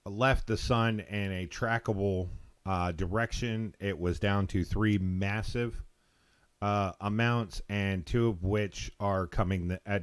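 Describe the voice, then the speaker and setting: An American man hosting a live call-in show, talking casually in a rambling, halting, informal way. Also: lowish voice. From Texas, United States.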